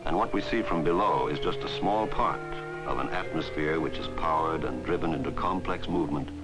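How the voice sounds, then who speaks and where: A man reading scripted narration for a 1960s educational film in a measured, formal way. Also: rumbling low, low-quality recording. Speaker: documentary narrator. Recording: 1960s film soundtrack, loud music. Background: loud background music.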